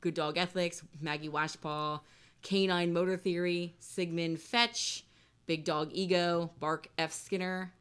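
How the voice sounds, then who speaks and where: A woman's voice: lowish voice, steady tone, unhurried, smooth. A woman casually teaching people how to play a card game as one of its designers.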